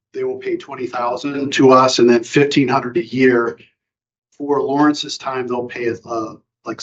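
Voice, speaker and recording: Halting man presenting at a meeting. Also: mid-range voice. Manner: halting, many fillers and restarts. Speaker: county staff presenting to board. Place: county board meeting room. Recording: dull lo-fi meeting recording.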